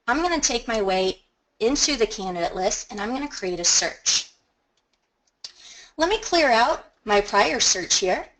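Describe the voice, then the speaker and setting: A woman presenting a software training webinar, explaining each step in a conversational, instructional way and stressing key words. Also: on the high side, rough recording. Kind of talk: instructional screen-share walkthrough.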